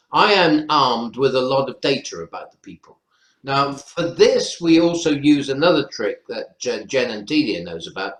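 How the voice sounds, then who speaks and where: A man with a North Welsh accent, explaining his teaching practice as a lecturer on an online webinar panel. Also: mid-range voice. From North Wales. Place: indoor room, online webinar. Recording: roomy echo on webinar audio.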